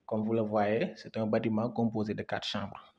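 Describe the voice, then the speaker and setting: A man's voice: on the low side, little pitch movement, normal speed, a little mellow, husky. A young man from Senegal, a history student, giving a guided museum tour, hesitant and full of fillers and repeated words. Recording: dull lo-fi sound.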